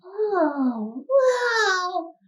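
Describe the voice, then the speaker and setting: A woman's voice: very high-pitched, big pitch swings, drawn-out words, recorded from a distance, voice pushed up, full character voice. A woman voicing a curious, sweet young girl puppet in a children's show, wondering and eager. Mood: amazed.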